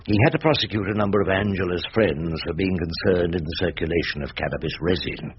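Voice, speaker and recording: An actor playing a wry, rumpled older English barrister in a radio drama, with an orotund, ironic, rhetorical way of talking. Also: male voice, sits low, quick pace, close to an everyday voice. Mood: dry narration. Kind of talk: BBC radio drama acting and first-person narration. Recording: dull, noisy old radio recording.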